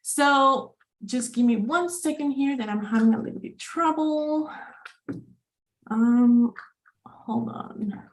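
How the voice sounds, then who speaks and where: Brief: enthusiastic female webinar host on a video call, slightly high voice. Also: slow pace, rough recording. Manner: upbeat, enthusiastic, with fillers and restarts. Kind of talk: hosting a live online chat.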